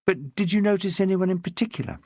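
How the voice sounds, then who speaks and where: A man's voice: high-pitched, fast, mellow, no echo, studio quality, voice pushed up. A man voicing an earnest young woman asking polite, searching questions. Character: determined young woman with memory loss.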